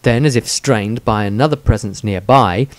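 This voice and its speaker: A man reading his own fantasy story aloud in a deliberate, dramatic storytelling manner. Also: lowish voice, dry recording. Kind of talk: scripted fantasy audiobook narration.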